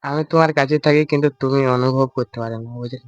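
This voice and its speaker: A man's voice: medium pitch, fairly even intonation, racing, highs cut off, music in the background. A young man making a casual prank call, teasing and evasive as he repeats himself. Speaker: prank caller and video creator. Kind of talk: casual prank phone chat.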